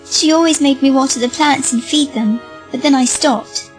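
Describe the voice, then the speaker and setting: A woman's voice: high-pitched, moderate pace, faint music behind, close to an everyday voice. A woman playing a frightened, earnest young girl in an audio drama.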